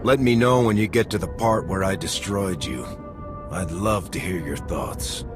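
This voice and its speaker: A man's voice: deep voice, moderate pace, close to an everyday voice. An actor playing a steadfast, defiant soldier in a video game, a man with a firm, dry delivery over music. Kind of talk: dramatic video game voice acting. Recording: music behind the voice.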